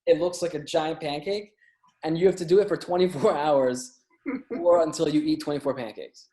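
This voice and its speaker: A man chatting casually as a podcast guest, telling anecdotes in a halting way, often repeating and stumbling over words. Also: a little high, fairly even intonation, mellow. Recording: roomy, echoey sound.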